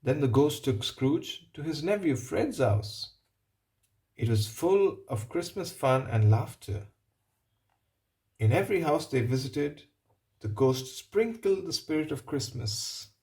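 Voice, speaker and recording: A man reading a picture book aloud to children, pausing to explain things and put questions to them. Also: fairly high pitch, animated, warm tone. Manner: pauses to ask and explain to young listeners. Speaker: storybook reader. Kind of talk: read-aloud narration for children.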